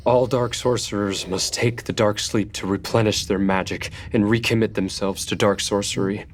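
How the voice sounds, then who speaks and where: An actor playing a grave, knowing man versed in dark sorcery in an audio drama, speaking solemnly and deliberately. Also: on the low side, fairly even intonation, slight background noise, lightly acted. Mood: ominous. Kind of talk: audio drama table read acting.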